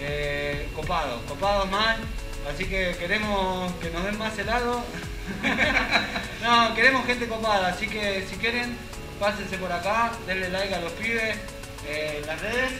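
Chatty Argentine man with a high voice. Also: measured pace, over music, poor audio. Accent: Rioplatense Spanish. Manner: joking, chatty and upbeat. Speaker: motovlogger host. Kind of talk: casual vlog chat. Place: street and small ice cream shop. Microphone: helmet-mounted action camera.

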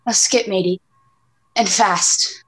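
Woman doing sickly old pirate captain, gruff sailor lingo, desperate, middling pitch, radio drama. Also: fairly even intonation, slow, fairly bright tone, low-quality recording. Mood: desperate, failing. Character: ailing, desperate old pirate captain, rum-loving man. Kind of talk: amateur radio play acting.

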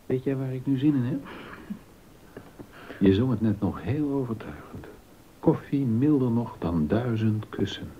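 An actor playing an exacting yet amorous baroque music master in a docudrama, giving short, deliberate instructions with pauses. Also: male voice, mid-range voice, lively intonation, a little hiss or hum, close to an everyday voice. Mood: playful, amorous. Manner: instructive, deliberate, pausing between short phrases. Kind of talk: Dutch radio docudrama acting. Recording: dull, roomy sound with music behind.

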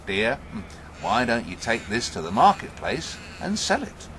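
Storybook old wife, read by a male storyteller: gentle, reassuring, coaxing, with varied intonation. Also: medium pitch, wildly swooping pitch, measured pace, a little hiss or hum.

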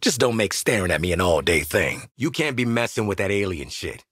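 An American man voicing a gruff, cocky mercenary sharpshooter for a video game, with a gravelly, husky voice and a brash, sardonic, streetwise manner. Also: on the low side, sing-song, fairly quick, fairly bright tone, no room sound, studio quality, full character voice. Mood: sardonic, grumbling. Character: gruff, cocky, exasperated male mercenary sharpshooter squad leader. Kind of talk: video game character voice lines. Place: studio voice booth, dry.

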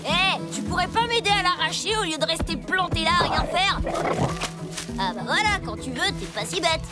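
A woman's voice: very high voice, expressive, moderate pace, loud music behind the voice. A woman voicing a boastful, bossy young caveman boy in a cartoon. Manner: taunting, bossy, show-off. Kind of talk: cartoon voice acting.

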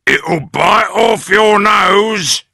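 A man voicing a nasty, scheming old husband in a storybook reading, slow and sneering. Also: sits high, creaky, pushed and bright, dry recording, shouting, clean studio sound, pitched above the performer's own voice, heavily acted.

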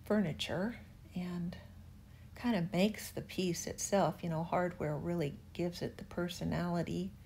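An older woman talks through her furniture project in an unhurried, chatty voice-over. Her voice sits low and easy, and she lingers on her words with gentle enthusiasm. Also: gravelly, slight background noise. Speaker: furniture refinisher. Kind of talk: voice-over narration of a restoration.

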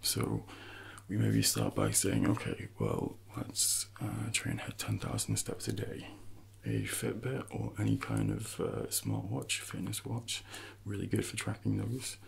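Soft-spoken man with heavy vocal fry. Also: lively intonation, measured pace. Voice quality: soft, breathy, gravelly low voice. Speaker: ASMR host role-playing a personal trainer. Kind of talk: soft-spoken ASMR role-play.

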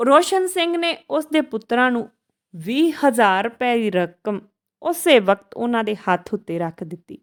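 A woman narrating an emotional moral story, reading in short, broken phrases. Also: fairly high pitch.

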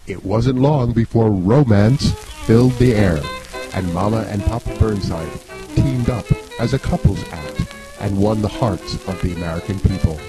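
A man's voice: on the low side, unhurried, music in the background, rough recording. A man reading scripted mock-documentary narration in a formal, grandiose storytelling manner.

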